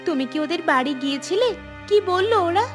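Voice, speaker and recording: A woman voicing a caring young daughter in a cartoon, speaking in an earnest, gently firm way. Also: very high voice, lively intonation, brisk, faint music behind. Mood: reassuring, firm. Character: caring young unmarried daughter, practical and reassuring. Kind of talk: cartoon dialogue.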